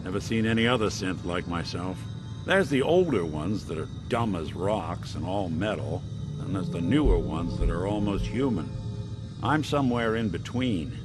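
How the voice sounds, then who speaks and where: A low-voiced hard-boiled American android detective in a video game, wry and world-weary. His delivery is animated and moves around in pitch, with a noir private-eye edge. Loud music plays behind him. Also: normal speed. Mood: grim, explaining.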